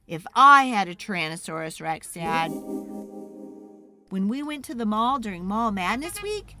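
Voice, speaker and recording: A woman voicing an eager, pleading young child in an excitable, dramatic storybook read-aloud. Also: a little high, exaggerated voice. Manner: wheedling, excitable, dramatic, drawn-out emphasis.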